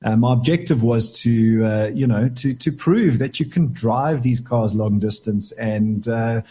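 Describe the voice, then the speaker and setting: A man chatting casually on a radio show about electric cars, relaxed and full of hesitant fillers. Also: medium pitch, unhurried, slightly rough. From South Africa. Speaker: tech and motoring contributor.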